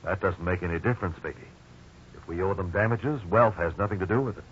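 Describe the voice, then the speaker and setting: A worried but steady father played by a man in a 1950s radio drama. His voice is fairly low and firm, heard through dull, faintly noisy old sound. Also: fast, natural voice. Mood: grave, resigned.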